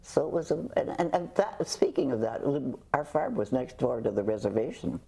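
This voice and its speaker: Older woman with a husky, mellow voice. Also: rumbling low, very animated. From Canada. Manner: halting, with frequent restarts and hesitations. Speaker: interview guest. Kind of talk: reflective conversational storytelling.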